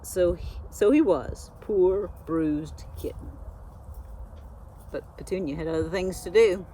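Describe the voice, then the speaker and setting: A woman reading a children's storybook aloud, expressively and with dramatic pauses. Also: lowish voice, warm tone, a little hiss or hum. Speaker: school media specialist reading aloud.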